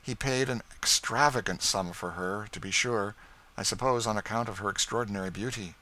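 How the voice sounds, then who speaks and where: A man voicing a courteous young gentleman in an audiobook, frank, polite and informative. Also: on the low side, a little fast. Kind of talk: audiobook character dialogue.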